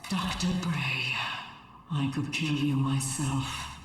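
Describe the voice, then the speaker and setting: Echoing, guarded station AI. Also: female voice, very deep voice. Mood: bitter. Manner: clipped system announcements, deliberate and dry. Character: resentful female station AI, guarded, coldly clinical and weary. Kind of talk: video game cutscene acting. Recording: very echoey, distant, music behind.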